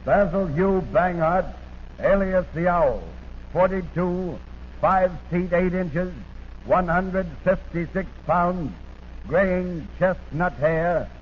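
A man reading a scripted 1940s radio police bulletin in deliberate, clipped items. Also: high voice. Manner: deliberate, clipped listing with repetition. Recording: tinny noisy 1940s radio recording.

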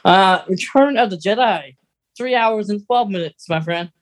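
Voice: medium pitch, moderate pace. A podcast co-host chatting casually and emphatically about opinions, in an informal conversational way. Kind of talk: casual chat, opinionated film and radio drama discussion.

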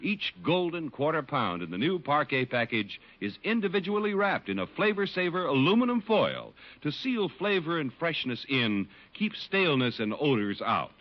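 Male American 1950s radio announcer, lively swooping scripted delivery, muffled old broadcast sound. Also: fairly high pitch, moderate pace, hardly any pauses. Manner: polished, emphatic. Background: music.

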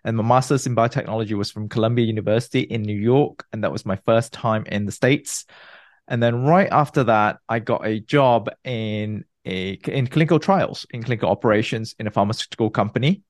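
Malaysian-born guy, thirties, lowish voice, explains stuff carefully, dry close mic. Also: no room sound. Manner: explanatory, measured, with restarts. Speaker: guest researcher. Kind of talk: interview answers.

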